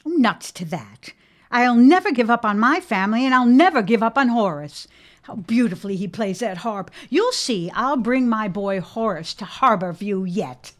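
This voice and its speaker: A woman's voice: fairly high pitch, animated, moderate pace, lightly acted. An actress playing a stubborn, sentimental middle-aged widowed mother in a period audio drama, theatrical and insistent with old-fashioned phrasing. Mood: wounded, defiant.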